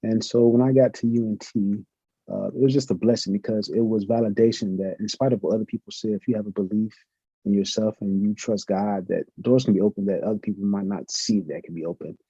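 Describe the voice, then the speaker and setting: Middle-aged man, professor guest; fast, husky, very dark tone, muffled lo-fi sound. Earnest, reflective. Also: on the low side, steady tone, no room sound. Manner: earnest, reflective, uses many fillers. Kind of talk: conversational interview answers. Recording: muffled lo-fi audio.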